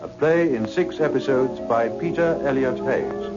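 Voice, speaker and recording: A man's voice: medium pitch, unhurried, music in the background. A man making a formal announcement for a 1960s radio drama. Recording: muffled old radio recording.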